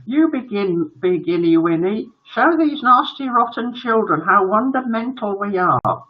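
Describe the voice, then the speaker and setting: High squeaky little teacher's pet boy, gushy baby talk, swoops about, storybook voice, muffled sound. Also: expressive, a little slow. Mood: gushing, smug. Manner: sickly-sweet baby talk. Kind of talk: children's storybook character voice.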